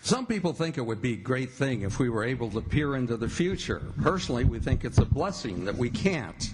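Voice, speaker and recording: A man's voice: medium pitch, big pitch swings, fairly quick, background noise. A man announcing in scripted old-time radio style. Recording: loud music under the voice.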